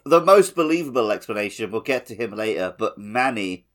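A man's voice: fairly low pitch, animated, a little fast, fairly bright tone. A man co-hosting a gaming podcast in a casual, playful, jokey way. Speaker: podcast co-host.

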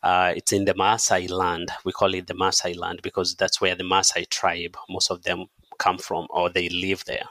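A man with a Kenyan accent speaking as an interview guest, telling his story in measured, hesitant phrases. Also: low-pitched, pushed and bright, dry recording. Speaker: podcast guest, charity staff member. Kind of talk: conversational interview, personal storytelling.

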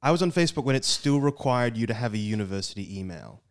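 A man's voice: medium pitch, animated, fast, smooth. Easygoing male podcast host. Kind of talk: casual interview chat.

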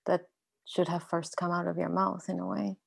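A woman's voice: lowish voice, flat delivery, normal speed, gravelly, slightly rough. A woman interviewing a guest, listening closely with frequent murmured agreement. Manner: attentive, many murmured agreements. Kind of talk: conversational interview.

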